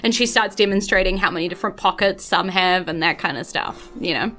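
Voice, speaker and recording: A woman's voice: lowish voice, a little fast, a bit bright, soft background music. A woman with a New Zealand accent narrating a tabletop game as game master, casual and hesitant with trailing asides.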